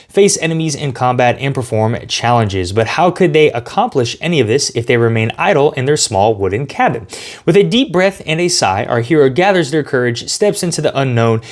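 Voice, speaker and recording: A man hosting a tabletop game tutorial in a casual style, talking viewers through each step and reading out story passages. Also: mid-range voice. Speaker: host teaching a board game.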